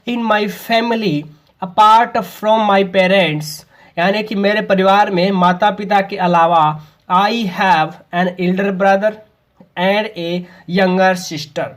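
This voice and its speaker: A man with an Indian accent giving a spoken English lesson. He mixes in English phrases and says them slowly and deliberately as examples. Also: sits high, fairly bright tone. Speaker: teacher.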